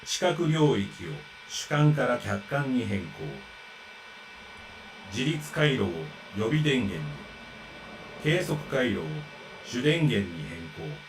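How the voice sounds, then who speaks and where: An actor voicing a formal android reciting system reports in a Japanese visual novel, clipped and procedural, with a Japanese accent. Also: male voice, medium pitch, expressive, moderate pace, background noise, natural voice.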